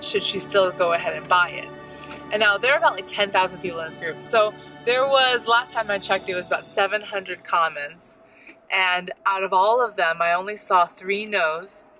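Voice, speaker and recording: A woman's voice: medium pitch, normal speed, sharp tone, soft background music, rough recording. An American woman co-hosting a family vlog, talking in an earnest, chatty way. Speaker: vlog co-host. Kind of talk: casual chat.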